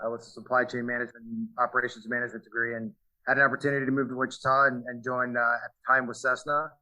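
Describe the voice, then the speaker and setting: Earnest man with a flat, bright voice. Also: mid-range voice, racing, rough recording. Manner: many fillers and restarts, earnest. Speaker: value stream manager, guest. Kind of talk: interview answers. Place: aircraft manufacturing facility.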